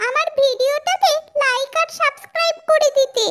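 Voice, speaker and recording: A woman voicing a clever, cheerful little child in a children's cartoon, with a squeaky young sound. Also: fairly even intonation, normal speed, noisy background. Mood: bargaining. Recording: music under the voice.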